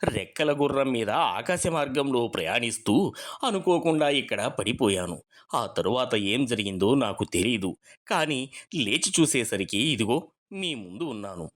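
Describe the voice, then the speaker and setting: A woman voicing a bewildered old man who speaks softly and plainly. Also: rumbling low, animated, normal speed, voice pushed down. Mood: pleading.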